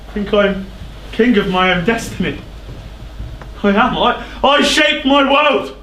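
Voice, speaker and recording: A man's voice: high-pitched, expressive, a little slow, heavily acted. A man playing a proud, wrathful king in a stage tragedy, imperious and declamatory as he demands the truth. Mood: anguished, defiant. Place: indoor theatre stage. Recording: echoey theatre stage with loud noise.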